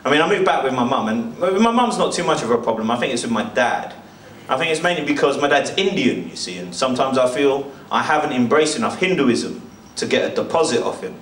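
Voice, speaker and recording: A man's voice: mid-range voice, animated, fairly quick, a bit bright. A young man telling stand-up stories to a live room. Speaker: stand-up comedian. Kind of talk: stand-up storytelling. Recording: echoey venue, distant mic, faint noise.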